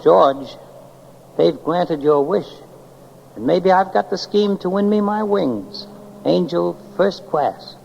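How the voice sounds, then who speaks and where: Through a dull, hissy vintage radio recording comes a guardian angel. He is theatrical, wry and insistent, with a creaky voice that rises and falls freely in the 1940s radio manner. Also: sits high, lively intonation, takes it slowly, darkish tone, exaggerated voice. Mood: wry, knowing. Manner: theatrical, challenging, repeats for emphasis. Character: wry, insistent male guardian angel goading his charge. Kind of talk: 1940s radio drama acting. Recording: muffled, noisy old 1940s radio recording.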